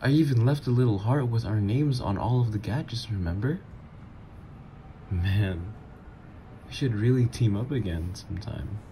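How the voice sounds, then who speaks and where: A man's voice: on the low side, moderate pace, long pauses, mellow, slight background noise, close to an everyday voice. A man voicing a teasing supervillain ex. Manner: teasing, playful, flirtatious. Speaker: voice actor. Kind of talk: ASMR roleplay audio.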